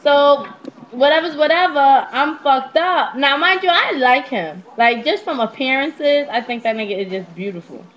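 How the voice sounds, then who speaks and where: A woman's voice: high-pitched, expressive, moderate pace, fairly bright tone. Candid young woman on a dull webcam mic. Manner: candid, slangy, profane. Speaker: vlogger. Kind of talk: casual confessional storytelling to webcam. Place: small indoor room at home. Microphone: netbook's built-in webcam mic.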